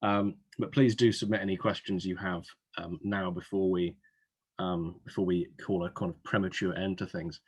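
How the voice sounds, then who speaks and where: A man's voice: deep voice, fairly even intonation, moderate pace, breathy edge. A man hosting an informal webinar Q&A as an admissions adviser, chatty, with wry asides. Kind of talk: informal Q&A hosting, reading out audience questions. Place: online webinar.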